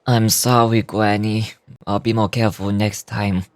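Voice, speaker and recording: A woman's voice: very deep voice, little pitch movement, a little slow, no echo, pitched below the performer's own voice. A woman voicing an earnest, deferential young man in game dialogue, polite and apologetic.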